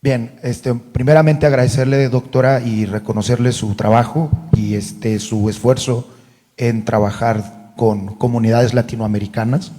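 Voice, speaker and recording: A man's voice: medium pitch, steady tone, measured pace, dark tone, soft background music. A man in the audience asking a formal, polite question after thanking the speaker. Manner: polite and formal, compliments first. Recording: long hall reverb.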